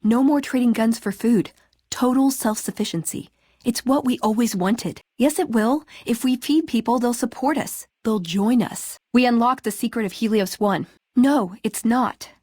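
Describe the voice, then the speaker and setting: A woman's voice: on the high side, normal speed, mellow, no room sound, studio quality, natural voice. An American actress playing a spirited, sarcastic young woman, a scrappy scavenger and brawler companion in a video game. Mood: earnest, frustrated. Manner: sarcastic, playful, quippy. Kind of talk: video game dialogue acting.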